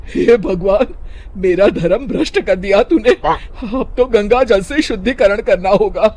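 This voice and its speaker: A performer voicing a traditional elderly mother-in-law in a cartoon story, sharp, dramatic and indignant. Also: medium pitch, measured pace, noisy background, close to an everyday voice.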